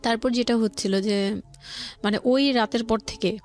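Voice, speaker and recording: A woman with a Dhaka Bangladeshi accent telling her life story as a guest, haltingly, often rephrasing as she explains. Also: a little high, fairly even intonation, a little mellow. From Old Dhaka, Bangladesh. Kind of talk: personal storytelling in conversation. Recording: music playing behind the voice.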